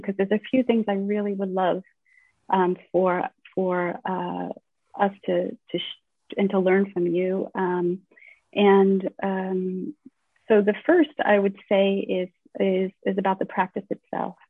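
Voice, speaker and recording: A woman hosting an interview, speaking informally and haltingly as she searches for words, over a thin call connection. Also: medium pitch, little pitch movement, breathy edge. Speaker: host interviewing a guest teacher. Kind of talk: informal spoken introduction and questions. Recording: thin phone-like call audio, roomy echo.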